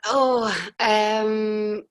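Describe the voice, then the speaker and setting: A young woman with a husky voice, a musician guest chatting casually in an interview, hesitant with frequent restarts. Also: medium pitch, level tone, very slow.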